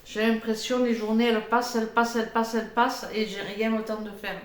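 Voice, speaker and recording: An older woman with a head cold, chatting casually in a cooking vlog, rambling with many pauses and fillers. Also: fairly high pitch, little pitch movement, echoey room. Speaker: cooking vlogger.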